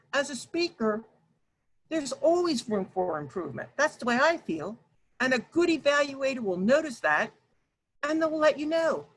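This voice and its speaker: A woman presenting an online workshop over a video call, talking loudly and emphatically and addressing her audience directly. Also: high-pitched, slight background noise, rough recording.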